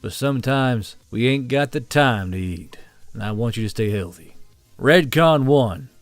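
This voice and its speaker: An American man giving a casual, off-the-cuff ad pitch as a channel host, in a folksy, blunt, colloquial way. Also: animated, measured pace, no room sound, faint music behind. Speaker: channel host reading a sponsor ad.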